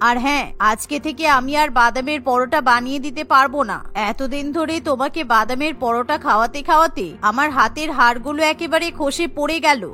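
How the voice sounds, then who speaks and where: A woman plays a middle-aged mother-in-law in a cartoon. She sounds weary and reproachful, with a high, forceful voice that scolds and explains at length. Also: a little fast, few pauses. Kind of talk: cartoon voice acting.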